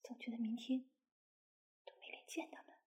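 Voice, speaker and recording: A woman's voice: on the high side, fairly even intonation, normal speed, darkish tone, close to an everyday voice. A woman voicing a bashful middle-aged mother-in-law who talks to herself in a flustered, whispery way. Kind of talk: intimate audio roleplay.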